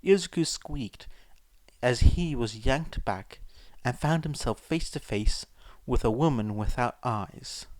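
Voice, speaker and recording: Slow-paced male story narrator, dry sound. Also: medium pitch, no echo. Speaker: audiobook narrator.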